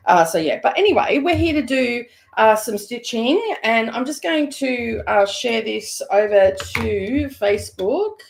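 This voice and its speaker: A woman hosting a stitching live stream from her home studio, hoarse and croaky today, chatting in a rambling way with many fillers. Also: on the high side, expressive, measured pace, hardly any pauses. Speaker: craft live-streamer host. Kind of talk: casual chat live stream.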